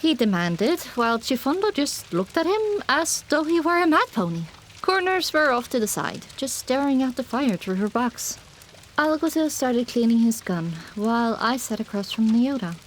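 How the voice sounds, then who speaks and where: A woman narrating a first-person fantasy audiobook in an expressive, dramatic storytelling manner. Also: high voice, normal speed, a little hiss or hum, rough recording.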